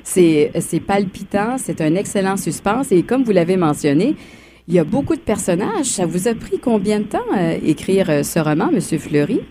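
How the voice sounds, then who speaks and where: A woman's voice: medium pitch, big pitch swings, moderate pace, mellow, slight background noise. A woman hosting a radio interview, conversational with trailing fillers. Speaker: radio host.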